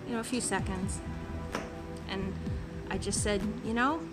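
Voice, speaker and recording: A woman telling a personal story in an earnest, impassioned way, swinging from soft to loud. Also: medium pitch, measured pace. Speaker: passport office clerk. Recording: loud music under the voice.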